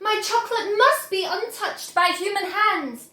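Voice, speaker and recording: Woman doing fussy chocolate factory man from kids book, pleading, high voice, roomy. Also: measured pace, pushed and bright, heavily acted. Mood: pleading alarm. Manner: pleading, crying out. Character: fussy, anguished male chocolate maker in storybook. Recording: roomy indoor echo.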